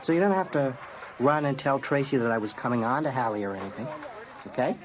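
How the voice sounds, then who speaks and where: An actor playing a nervous, awkward American high school boy, speaking hesitantly in a dramatised audiobook. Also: quick pace, crowd noise, lightly acted, low-quality recording.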